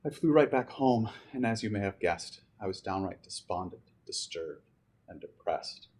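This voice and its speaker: A man's voice: lowish voice, expressive, normal speed, darkish tone. A man reading a rhyming children's story aloud with theatrical, dramatic delivery. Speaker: storybook reader.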